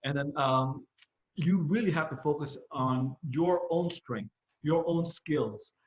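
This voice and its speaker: A man in his fifties giving fatherly advice in casual podcast talk, halting and repeating phrases as he goes. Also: on the high side. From Philippines, moved to the US at nine, San Francisco Bay Area. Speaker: podcast host, parent of young adults. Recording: roomy echo, slightly dull sound.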